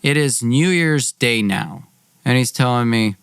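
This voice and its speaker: A man's voice: medium pitch, measured pace, a bit bright, clean tone, no room sound, clean studio sound. Guy hosting a story podcast, emphatic and kinda aggrieved, telling his own drama. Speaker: podcast host. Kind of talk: dramatic personal storytelling with acted dialogue.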